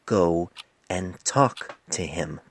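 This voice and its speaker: A man voicing a stern, wise older female pony physician in a storybook reading, speaking in a measured, grave, admonishing way. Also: low voice, creaky, full character voice. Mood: sober, commanding.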